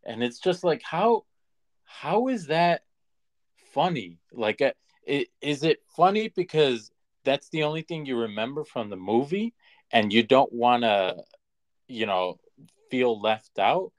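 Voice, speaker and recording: A man co-hosting a podcast in casual conversation, opinionated and halting as he restarts his points. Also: mid-range voice, sing-song. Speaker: podcast co-host. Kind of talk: casual chat.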